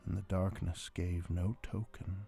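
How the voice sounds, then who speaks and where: A man giving a theatrical dramatic recitation of a gothic poem, moving between hushed tones and loud outbursts, over a string quartet score. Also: low voice, flat delivery, a little slow, gravelly, breathy edge, soft background music. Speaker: amateur reader of poetry. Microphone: close handheld portable recorder.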